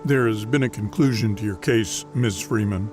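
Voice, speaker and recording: An actor playing a composed, courteous philanthropist hero in an audio drama, speaking formally. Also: male voice, on the low side, normal speed, over music, close to an everyday voice. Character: stern male vigilante and courteous philanthropist.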